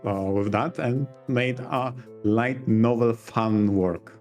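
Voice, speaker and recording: A man's voice: medium pitch, fairly even intonation, takes it slowly, a little mellow, soft background music. A man speaking conversationally as a podcast co-host. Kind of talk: casual podcast discussion.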